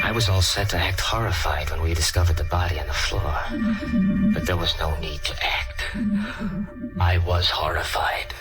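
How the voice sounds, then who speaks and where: An actor playing a smug, uneasy immortal murderer in a 1940s radio thriller, with melodramatic, brooding delivery. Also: male voice, deep voice. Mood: shaken, brooding. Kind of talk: 1940s radio acting, first-person thriller narration. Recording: old radio play with organ music.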